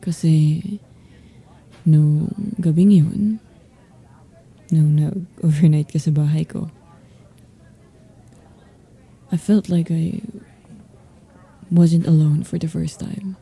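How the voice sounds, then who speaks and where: Husky, mellow young Filipina actress as varsity crush. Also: female voice, low voice, steady tone, heavily acted. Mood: sincere, tender. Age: young adult.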